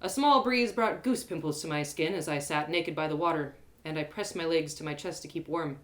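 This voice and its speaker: A woman narrating her own audio drama with an expressive, animated delivery. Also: deep voice, a little fast. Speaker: storyteller.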